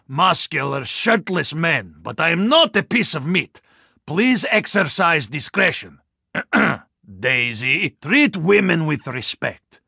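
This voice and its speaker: A stern, muscular Russian soldier from a video game, with a gravelly and forceful voice. He speaks formally in a Russian accent, and his pitch swings widely as he makes earnest, deliberate pronouncements. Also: no room sound, studio quality, heavily acted. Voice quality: gravelly, husky. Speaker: video game character voice actor.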